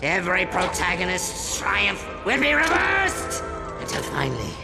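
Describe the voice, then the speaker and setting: An actor voicing a theatrical robot supervillain in a video game, maniacal and petulant, with grandiose, drawn-out, melodramatic delivery. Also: male voice, high voice, wildly swooping pitch, vocal fry, over loud music, full character voice. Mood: grandiose ranting. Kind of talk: video game cutscene acting.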